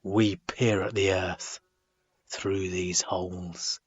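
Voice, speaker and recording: Man, poetry reading, low-pitched husky voice, measured deliberate phrasing, frequent pauses, dry muffled recording. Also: level tone, dry recording. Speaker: poet reading own work.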